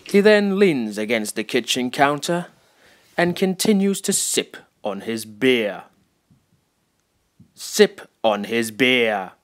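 A man playing a wry, taunting narrator who is a voice in someone's head, delivering deliberate scripted dramatic narration. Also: medium pitch, very animated. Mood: wry, chiding. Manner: wry, deliberate, mocking storytelling.

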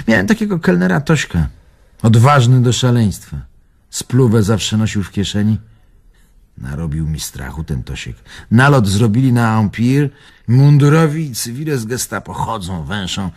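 An actor playing an old Warsaw tavern owner and spirited war survivor in a radio drama, earthy and raspy, reminiscing in clipped phrases. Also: male voice, on the low side, a little mellow, full character voice. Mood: animated, dramatic. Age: older. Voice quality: gravelly, raspy.

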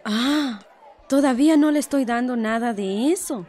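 An actress plays a young Guatemalan village mother in a radio soap. She is earnest and deferential, her voice a little high, with faint crowd sound behind her. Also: moderate pace, a little mellow, lightly acted. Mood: respectful, concerned. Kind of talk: radio soap opera acting.

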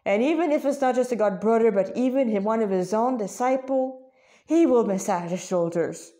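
Mellow-voiced woman reading a story aloud. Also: on the high side. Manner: halting, often stumbling and correcting herself.

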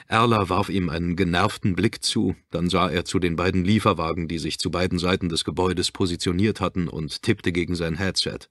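Deep-voiced male audiobook narrator. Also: fairly even intonation, brisk, hardly any pauses, fairly bright tone, dry recording, clean studio sound. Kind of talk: scripted narration of a suspense thriller. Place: dry studio.

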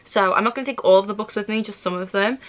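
A woman's voice: medium pitch, quick pace, fairly bright tone, no room sound. A woman, chatting casually for a book vlog and thinking aloud in an indecisive, rambling way. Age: 20s. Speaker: book vlogger. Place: small furnished room at home.

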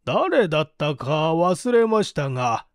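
A man voicing a humble, deferential village manservant in his forties, testifying haltingly in a mystery audiobook. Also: fairly high pitch, very animated, clean tone, no room sound, clean studio sound. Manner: slow, halting, measured testimony. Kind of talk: audiobook reading of a vintage mystery.

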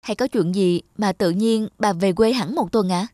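A woman's voice: medium pitch, moderate pace, mellow, no room sound, clean studio sound, lightly acted. A woman voicing a considerate younger employer, friendly, respectful and easygoing, in a cartoon dub. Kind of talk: cartoon dubbing.

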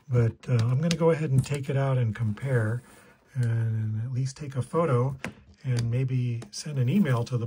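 A man's voice: medium pitch, warm tone, slightly rough. A middle-aged man giving casual hands-on tutorial commentary, thinking aloud in a tentative way. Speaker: repair video maker.

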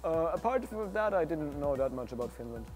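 A young man with an Austrian German accent, speaking in a measured, articulate way in a documentary interview indoors. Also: fairly high pitch, big pitch swings, darkish tone, soft background music. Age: young adult. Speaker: exchange student. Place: indoors by a large window.

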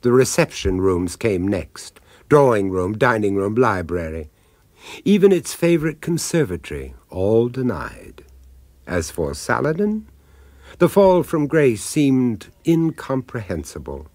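Wry ominous horror storyteller, lowish voice, very expressive, radio drama. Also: male voice, measured pace, no room sound, heavily acted. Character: urbane, wry narrator of sinister tales. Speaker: narrator.

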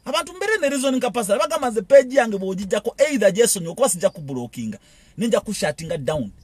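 A man's voice: sits high, big pitch swings, slightly rough, dry recording. A man with a Ugandan accent giving an impassioned political monologue, repeating phrases and firing rhetorical questions. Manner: rapid, repetitive, rhetorical questions. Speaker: political commentator.

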